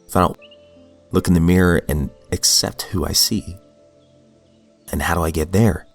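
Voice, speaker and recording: Deep-voiced man, sniffly from a cold. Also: steady tone, moderate pace, soft background music. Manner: earnest, reflective, with fillers and restarts. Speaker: podcast host reading daily recovery texts. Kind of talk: reading aloud then casual reflection.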